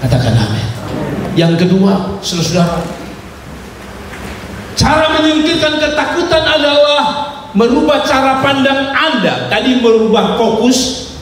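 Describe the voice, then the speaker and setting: A man preaches in a high, lively voice, playing out the exchanges of his own story. He swings from shouts down to quiet asides. He is heard from a distance in a large, reverberant church hall with faint ambience behind him. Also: a little slow, long pauses, background noise. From Indonesia. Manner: animated storytelling, acting out dialogue, swings between shouts and low asides. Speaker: pastor. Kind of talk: sermon with personal testimony.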